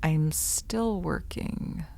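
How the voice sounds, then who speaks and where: An actress playing an earnest, disillusioned grown daughter who questions things, in an old-time radio drama. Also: female voice, deep voice, drawn-out words, creaky, mellow, heavily acted. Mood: subdued, apologetic.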